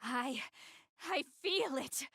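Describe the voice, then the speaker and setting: A grandiose, hammy Demon King acted out by a woman in an anime video game. The voice is high for a villain and swoops theatrically, with pompous, drawn-out proclamations in a dry recording. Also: animated, creaky, fairly bright tone, no echo, heavily acted. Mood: pompous, dramatic. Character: grandiose theatrical Demon King, self-styled god of darkness.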